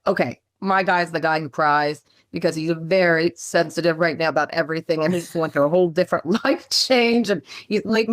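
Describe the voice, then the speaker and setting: Woman agent, chatty storyteller, lower pitch, dry close sound, video call. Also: no echo. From Maryland, USA. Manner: chatty, animated storyteller, lots of fillers and asides. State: low morning voice. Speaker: real estate agent. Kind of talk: casual meeting chat on a video call.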